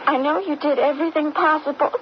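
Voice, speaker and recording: Actress as a high-voiced grieving young daughter, old radio. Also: female voice, fairly even intonation, quick pace, close to an everyday voice. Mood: subdued, concerned. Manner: earnest, emotive, theatrical. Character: grieving young daughter, a twin, earnest and dutiful. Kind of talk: old-time radio drama acting. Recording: dull, very noisy old radio broadcast.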